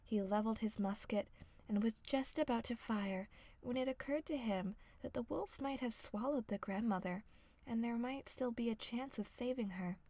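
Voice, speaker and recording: A woman reading a fairy tale as soft ASMR narration, hushed and often slipping into a whisper. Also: on the high side, moderate pace, no echo. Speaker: storyteller.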